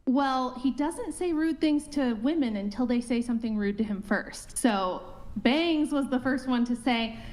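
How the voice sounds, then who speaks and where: A woman joining a live show as the host's wife, answering questions in a calm, matter-of-fact way. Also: high-pitched, a little slow. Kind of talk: live chat.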